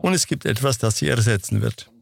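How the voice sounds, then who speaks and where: A man answering interview questions in a steady, lecturing manner. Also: mid-range voice, a little fast, vocal fry. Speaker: interview guest.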